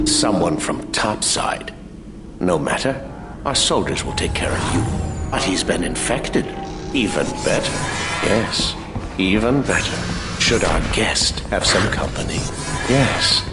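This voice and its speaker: An actor playing a coldly sinister, gloating villain in a video game, musing to himself in a deliberate way. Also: male voice, fairly low pitch. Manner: deliberate, self-absorbed musing.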